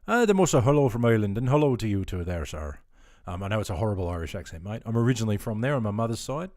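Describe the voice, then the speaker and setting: A man's voice: fairly low pitch, big pitch swings, rapid-fire, a little mellow, no echo, studio quality. A middle-aged Australian man chatting casually on a live stream, easygoing and full of ums, answering viewers and calling them mate. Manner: easygoing and chatty, filler-heavy, keeps calling people mate.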